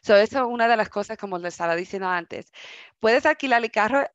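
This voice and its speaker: A woman's voice: on the high side, animated, fast, no echo, muffled recording. A woman, an English speaker, presenting a financial workshop in conversational Spanish, restarting words and mixing in English terms.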